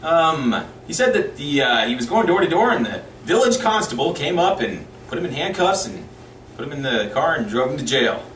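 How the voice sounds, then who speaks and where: A man telling a comic story to camera in a mock-serious way, acting out bits of dialogue with pauses. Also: fairly high pitch, very animated, a bit bright. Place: small indoor room, seated before camera. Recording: roomy, distant mic with background hiss.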